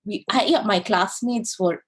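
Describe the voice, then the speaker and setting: A woman from India, a guest on a podcast, speaking in an animated, thoughtful way with many fillers and restarts. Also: mid-range voice, unhurried, slightly rough. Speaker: podcast guest, service designer. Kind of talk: casual interview conversation.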